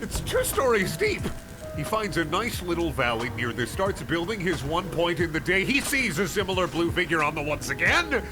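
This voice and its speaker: A man's voice: on the high side, very animated, moderate pace, poor audio. A man reading bad fan fiction aloud as a comic drama, mock-earnest and exaggerated, with sudden outbursts. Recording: loud music behind the voice.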